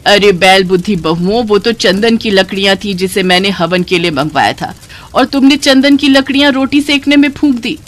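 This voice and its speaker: A woman's voice: mid-range voice, steady tone, normal speed, natural voice. A woman voicing a middle-aged mother-in-law, a fond but exasperated matriarch with a motherly, chiding manner, in a story.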